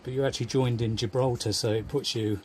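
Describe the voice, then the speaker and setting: A man hosting a sailing vlog, relaxed, interviewing a crewmate with easy backchannels. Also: medium pitch, quick pace, a little hiss or hum. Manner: relaxed, frequent "yeah" backchannels. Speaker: vlogger, yacht delivery crew. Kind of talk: casual vlog narration and interviewing. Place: on deck of a yacht at sea, outdoors.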